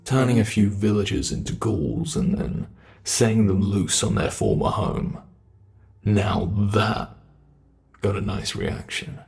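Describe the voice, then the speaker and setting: A man playing a sinister, sadistic monster in a horror ASMR roleplay, gloating and musing theatrically with a gravelly creak. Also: lowish voice, steady tone, darkish tone. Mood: musing, pleased. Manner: slow, menacing, gloating, theatrical musing with drawn-out words. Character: sinister male monster, sadistic flesh-sculpting predator toying with prey.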